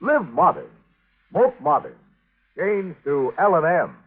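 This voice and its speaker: A man's voice: fairly high pitch, wildly swooping pitch, takes it slowly, slightly rough. A man giving dramatic 1950s-style radio announcements and sponsor copy as the show's announcer. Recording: tinny old radio broadcast. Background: music.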